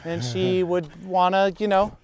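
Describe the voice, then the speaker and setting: A comedian co-hosting a podcast, chatting with wry jokes while walking an outdoor mountain trail. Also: male voice, high voice, little pitch movement, measured pace, a little hiss or hum. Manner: wry, joking. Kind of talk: casual chat on a hike.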